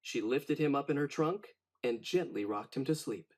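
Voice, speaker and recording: A man narrating a children's storybook in an expressive, dramatic read-aloud style. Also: medium pitch, normal speed.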